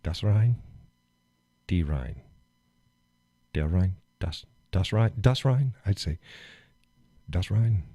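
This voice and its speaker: A man hosting a live stream, chatting casually and rambling with fillers and chuckles, phlegmy and clearing his throat. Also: sits low, lively intonation, slow, long pauses, dark tone, no echo, lo-fi sound. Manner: rambling, chuckling, thinks aloud with many fillers. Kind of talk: casual chat reading viewer comments.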